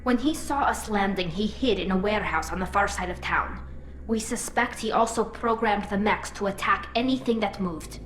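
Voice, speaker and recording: An actress playing a young alien squad leader who speaks in a firm, commanding way. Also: female voice, mid-range voice, moderate pace, fairly bright tone, roomy sound, slight background noise, close to an everyday voice, rough recording.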